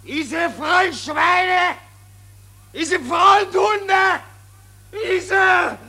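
An actor playing a furious, ranting Austrian army general who shouts and stammers, in a 1940s German radio play. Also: male voice, extremely high pitch, extremely slow, gravelly, full character voice. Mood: raging, despairing. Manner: shouting, stammering, breaking into sobs. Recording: old 1940s radio recording.

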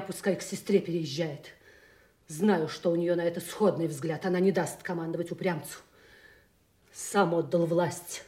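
An actress plays an imperious royal daughter in an audio drama. Her voice sits somewhat low for a woman. She speaks impatiently and commandingly, with cold theatrical resentment. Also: mellow, close to an everyday voice. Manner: commanding, impatient, crisp orders. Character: Goneril, imperious resentful duchess, king's hard daughter. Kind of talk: theatrical radio drama acting.

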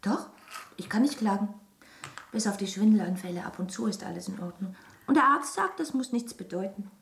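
An actress playing an anxious, trusting young wife in a German radio drama, speaking politely and a little hesitantly. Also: female voice, medium pitch, animated, a little fast, gravelly, roomy sound, background noise. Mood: polite, composed.

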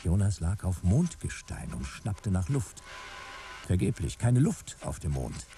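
An actor playing a hard-boiled private detective who narrates a sci-fi radio play in terse, wry, dramatic fragments. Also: male voice, deep voice, sing-song, unhurried, background noise, full character voice. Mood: dramatic, tense.

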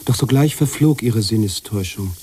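An actor playing a weary, brooding writer who narrates a literary radio drama in a musing, halting, husky way. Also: male voice, fairly low pitch, slight background noise, close to an everyday voice. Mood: somber, narrating. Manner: musing, halting, drops into hushed tones. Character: weary, brooding bearded male writer narrating his story.

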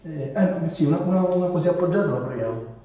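A man's voice: fairly high pitch, fast, dark tone. A man giving casual spoken directions, hesitantly repeating his words as he goes. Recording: very echoey room, distant mic.

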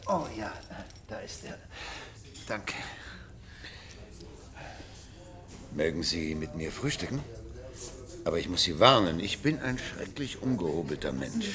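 A man's voice: low voice, very animated, normal speed, a crowd in the background. An actor playing a cultured, blunt and irascible blind man in a radio drama, grumbling and emphatic. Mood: flustered, courteous.

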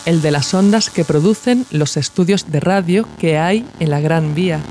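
A woman with an accent from Spain reads a scripted documentary essay in a measured, reflective, formal manner. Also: low-pitched, a little mellow, a little hiss or hum. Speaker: podcast narrator and author.

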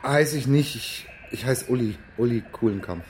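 A nervous, whiny young man played by an actor in a radio crime comedy. His mid-pitched voice jumps about as he frets and hesitates, over faint noise. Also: expressive, normal speed, darkish tone, lightly acted. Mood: evasive. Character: hapless, nervous young man stumbling into blackmail. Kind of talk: naturalistic German radio crime comedy acting.

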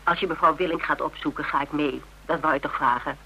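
High, sharp-tongued confidant. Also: male voice, fairly even intonation, fast, vocal fry, slightly rough, full character voice, poor audio. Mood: teasing, brisk. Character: sharp, teasing, skeptical confidant of a policeman. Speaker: actor in a radio drama. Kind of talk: radio drama dialogue.

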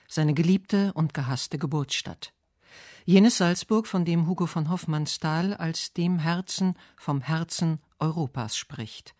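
A man reading literary narration and verse for a radio essay with measured, deliberate phrasing. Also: on the high side, a little mellow, no room sound, studio quality.

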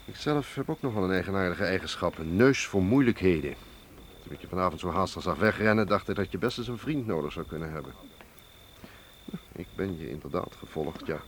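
An actor playing a shrewd, protective man in a radio drama, probing and teasing in a measured way. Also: deep voice, expressive. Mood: teasing, knowing. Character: shrewd, protective Russian man, burly professional agent. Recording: clear noise, outdoor night insect ambience. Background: chirping crickets.

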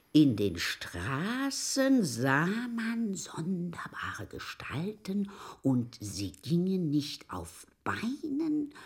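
An actress in a German radio drama playing a curious, superstitious, pert serving woman with a husky, often hushed voice. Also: low voice, full character voice. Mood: hushed, eerie.

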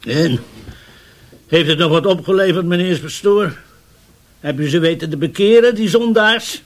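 An actor playing a harsh, contemptuous, sanctimonious religious brother in a Dutch radio drama, blunt and needling. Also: male voice, on the high side, moderate pace, lightly acted. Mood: mocking.

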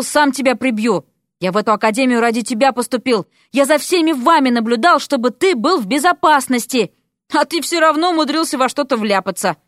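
A woman's voice: sits high, fairly bright tone, no room sound, clean studio sound. A woman voicing a fed-up, blunt male undercover bodyguard in an audio story, exasperated and emphatic.

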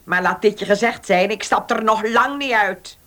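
A woman's voice: medium pitch, moderate pace, a bit bright, natural voice. A woman playing a domineering, bitter old aunt in a Dutch radio play, scornful and commanding in the way she talks. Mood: bitter, defiant. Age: older.

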